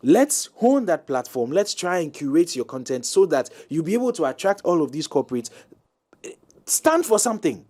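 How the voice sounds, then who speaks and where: A man talking as a guest brand consultant on a panel talk show, pausing with many ums, then growing emphatic. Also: a little high. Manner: hesitant, many ums, grows animated and emphatic.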